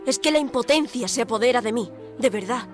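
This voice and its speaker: A woman's voice: fairly high pitch, lively intonation, a little fast, natural voice. An actress playing a defiant, articulate young woman reporter held in an asylum, in a dramatic audio drama. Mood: anguished. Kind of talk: audio drama narration and dialogue. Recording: music under the voice.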